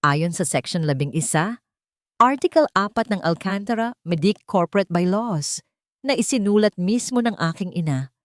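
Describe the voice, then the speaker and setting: A woman voicing a betrayed wife and heiress in a love-story narration, calm, formal and cutting. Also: mid-range voice, normal speed, no echo, lightly acted. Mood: cold authority. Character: betrayed wife and heiress, cold, regal, commanding.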